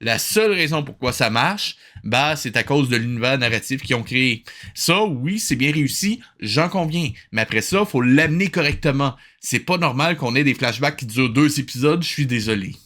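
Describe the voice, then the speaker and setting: A man's voice: mid-range voice, expressive, normal speed, few pauses, fairly bright tone. A man with a Québécois accent doing sarcastic, exasperated comedic commentary as a review host.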